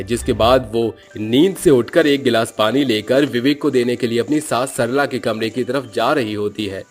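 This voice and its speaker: A man narrating a scripted cartoon story in a steady, plain manner. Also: mid-range voice.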